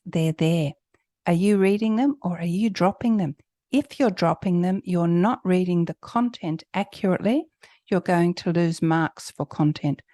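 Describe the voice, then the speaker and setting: A woman's voice: on the low side, mellow, no room sound, clean studio sound. A woman teacher giving an instructional lesson on pronunciation, coaching step by step and emphasizing example words. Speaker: English test preparation teacher.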